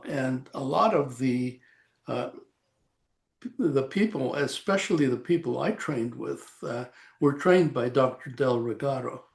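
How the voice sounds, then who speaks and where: An elderly man in his eighties from El Salvador tells his story slowly, pausing often and filling the gaps with "uh." His voice sits at an ordinary pitch for a man, and he wanders through his memories in a relaxed, unhurried way. He is speaking over a video call. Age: 80s. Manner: slow, rambling, halting with frequent "uh". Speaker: retired radiation oncologist, guest. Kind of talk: reminiscing interview.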